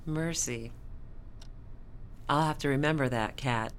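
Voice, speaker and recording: An actress in a radio drama playing a composed, firm yet compassionate hospital staffer, speaking in a measured, formal, consoling way. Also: female voice, extremely low pitch, slight background noise. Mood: gentle, encouraging.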